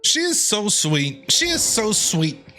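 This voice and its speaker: A woman's voice: medium pitch, very animated, takes it slowly, sharp tone, soft background music. A woman streamer giving playful, heckling reaction commentary while playing a game.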